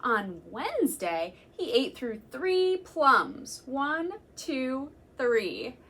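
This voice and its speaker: A young woman, a children's librarian, reading a picture book aloud to children in a gentle, slow, sing-song way and counting along. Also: sits high, sing-song. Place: library room.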